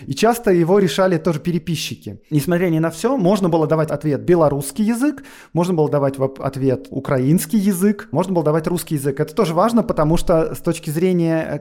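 A man's voice: on the high side, a little mellow. A man explaining history on a podcast in a lecturing way, with frequent fillers and asides. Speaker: guest historian.

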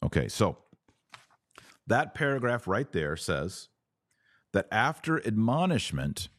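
A deep-voiced man hosts a religious commentary podcast in a dry, close recording. He phrases his points deliberately and with emphasis, moving between reading formal passages and explaining them. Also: sing-song, a bit bright, dry recording. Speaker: podcast host and author.

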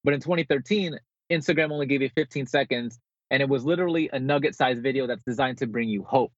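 A man's voice: medium pitch, fast talker, dry recording, dull audio. A man from New Jersey, born in the Philippines, speaking as a motivational guest in a conversational interview over a remote call. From born in the Philippines, grew up in New Jersey.

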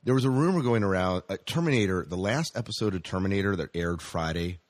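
Man, low-pitched voice, podcast host, casual news chat, questioning conversational manner. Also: expressive, fast, dry recording, clean studio sound.

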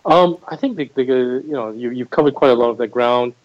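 A man's voice: medium pitch, brisk, no echo, muffled recording. A middle-aged man from Singapore, a guest talking thoughtfully about his career, with frequent restarts and fillers. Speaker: MBA admissions head, guest. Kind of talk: interview conversation.

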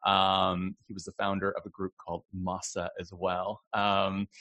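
A male podcast host with a husky voice who asks friendly, rambling questions in a casual interview. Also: low-pitched, monotone, measured pace, bright, forceful tone.

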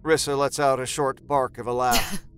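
A man's voice: mid-range voice, steady tone, normal speed, fairly bright tone, soft background music. A man narrating a scripted horror story in a dramatic storytelling style.

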